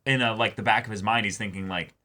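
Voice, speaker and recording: Male co-host, low pitch with a bright forward edge, informal discussion style. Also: fairly even intonation, quick pace. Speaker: co-host of anime reaction show. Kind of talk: casual discussion and commentary.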